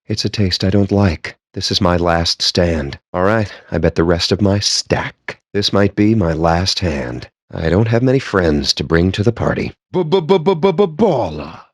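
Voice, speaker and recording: An actor playing a cocky, foul-mouthed, nerdy poker player in a video game, with a sardonic, smug and theatrically wordy delivery. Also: male voice, low-pitched, lively intonation, measured pace, few pauses, no echo, clean studio sound. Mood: sour, defeated, then dryly confident. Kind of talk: video game character banter.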